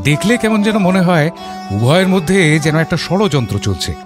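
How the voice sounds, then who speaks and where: A man narrating an audio story as a thoughtful father, in a measured, literary storytelling manner. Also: fairly high pitch, big pitch swings, over music. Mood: reflective. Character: thoughtful middle-class father telling his story.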